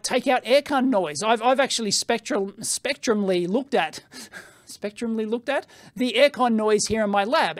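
A middle-aged man with an Australian accent, reviewing gear in a casual, excitable way, thinking aloud and laughing at himself in his electronics lab on a studio microphone. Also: extremely high pitch, dry recording. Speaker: electronics video host. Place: cluttered electronics lab indoors. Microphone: studio microphone on a stand.

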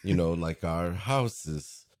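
Low-voiced man riffing at a leisurely pace. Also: expressive, slow pace, dry recording, clean studio sound. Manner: mock-earnest, rambling riffs. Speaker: podcast co-host. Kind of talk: improvised comic banter.

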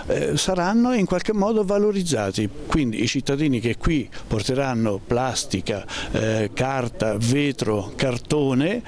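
A man, speaking haltingly as a mayor in an on-location interview. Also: medium pitch, expressive, darkish tone. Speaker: town mayor. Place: outdoors at building entrance. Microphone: handheld reporter microphone. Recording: clear background ambient noise.

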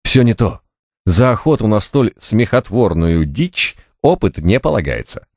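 Male audiobook reader for fantasy fiction: low voice, widely varied intonation, expressive storyteller. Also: unhurried, no room sound, studio quality. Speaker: audiobook narrator. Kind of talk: scripted narration of a fantasy novel.

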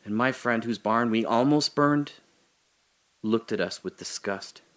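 An actor playing a guilt-ridden trapped man in an audio drama monologue, halting and intense, confessing and pleading. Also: mid-range voice, natural voice. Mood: guilty, hushed. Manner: halting, intense, swinging from hushed confession to anguished cries.

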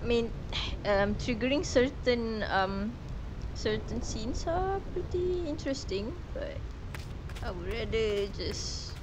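A woman's voice: fairly high pitch, animated, slow pace, noisy background. A young woman from Malaysia chatting casually while playing a game, thinking aloud with fillers. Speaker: streamer. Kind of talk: casual let's-play commentary. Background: game music.

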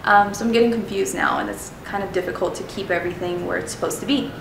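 A woman's voice: medium pitch, quick pace, creaky, reverberant, far from the mic, background noise. A young woman, Spanish her first language, chatting casually to camera as she teaches travel phrases, with frequent ums and restarts. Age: young adult. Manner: chatty, self-deprecating, many ums and restarts. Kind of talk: casual explanatory lesson to camera.

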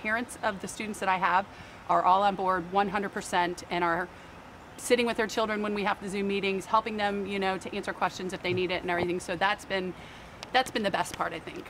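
A woman in her forties, a pre-K teacher, speaking in a warm, matter-of-fact way outdoors beside a building. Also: mid-range voice, fairly even intonation, a little fast, a little hiss or hum, rough recording. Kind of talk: interview for local TV feature.

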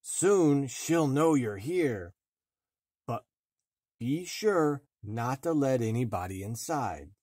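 A man voicing a kindly but stern storybook dwarf with slow, emphatic phrasing. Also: mid-range voice, lively intonation, a little mellow, no echo, studio quality. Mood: warning.

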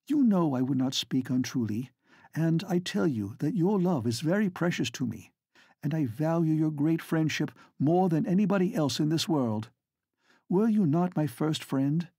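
A man's voice: on the high side, gravelly, mellow, husky, dry recording, studio quality, voice pushed up, full character voice. A man reading the part of an earnest, proud but tender lady in a novel, speaking in a pleading way.

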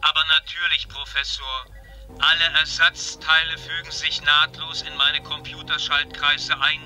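A man's voice: a little high, completely flat delivery, normal speed, sharp tone, faint music behind, poor audio. A man voicing a proud, eager spaceship computer with polite manners in a children's sci-fi radio play. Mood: dutiful.

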